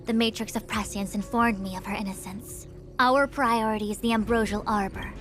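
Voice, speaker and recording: A woman playing a composed, self-assured young diviner in a video game, speaking in a formal, measured, authoritative way. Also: medium pitch, fairly even intonation, faint music behind, natural voice. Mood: solemn, certain. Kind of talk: scripted video game dialogue.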